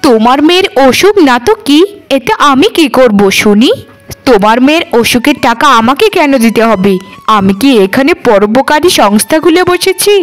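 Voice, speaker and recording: A woman's voice: sits high, animated, moderate pace. A woman voicing a haughty, scornful rich village housewife in a cartoon, sneering and dismissive. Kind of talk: Bengali cartoon dialogue.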